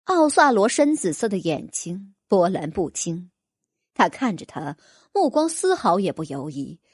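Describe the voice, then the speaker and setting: A woman's voice: mid-range voice, very animated, unhurried, a little mellow, slightly rough, no room sound, clean studio sound. A woman reading a fantasy novel as an audiobook narrator, in a dramatic, scripted storytelling style.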